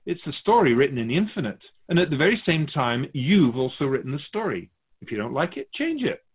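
A man playing a wise otherworldly guide of souls, teaching in a measured, philosophical and gently instructive conversational way. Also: a little high, big pitch swings, slightly rough, narrow, tinny audio. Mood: calm, instructive. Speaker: guest answering questions. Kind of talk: conversational spiritual teaching, question and answer.

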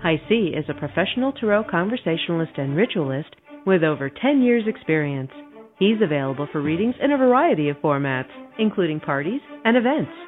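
A woman's voice: on the low side, big pitch swings, fairly quick, narrow, tinny audio. A woman announcer reading scripted promotional narration. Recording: music bed behind.

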